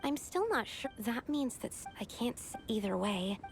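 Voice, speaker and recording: High-voiced, thoughtful young game heroine. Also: female voice, very animated, normal speed. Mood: doubtful, pondering. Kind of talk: video game voice acting. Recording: game music underneath.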